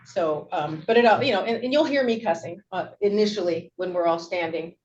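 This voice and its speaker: A woman's voice: lowish voice, fairly quick, darkish tone. A woman giving unscripted testimony in court, explaining herself somewhat hesitantly. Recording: distant, noisy, muffled courtroom audio.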